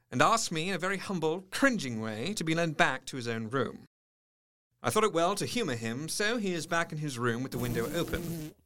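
Fairly high, earnest young doc reading his letters calmly. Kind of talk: radio drama, reading letters and diary entries.

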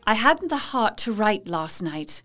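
A woman's voice: mid-range voice, big pitch swings, measured pace, a bit bright, soft background music. An actress playing a devoted young Victorian wife, anxious but resolute, reading her journal earnestly and carefully in a radio play. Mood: troubled, resolute.

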